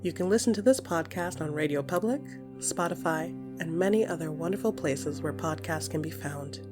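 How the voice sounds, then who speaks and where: A woman narrating scripted second-person fiction in an intimate, dramatic way, with a breathy voice that often drops into whispers and deliberate short phrases. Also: low voice, over music. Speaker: podcast creator and narrator.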